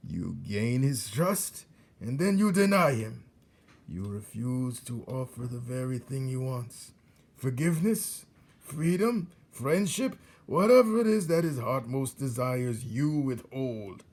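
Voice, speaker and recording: A man's voice: medium pitch, expressive, takes it slowly, a little mellow, pitched above the performer's own voice. A man reads as an ancient storybook rat who delights in cruelty. He lectures with relish, his voice lifted above a narrator's and leaning hard on key words, sly and self-satisfied. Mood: sly lecturing. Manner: lecturing, relishing each word with emphatic stresses. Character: very old, gleefully cruel one-eared male dungeon rat.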